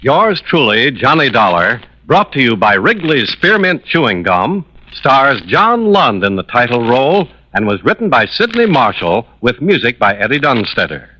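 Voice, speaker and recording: Animated male old-time radio announcer. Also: mid-range voice. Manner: polished, emphatic salesmanship. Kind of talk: 1950s radio sponsor announcing. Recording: dull, slightly noisy old radio recording.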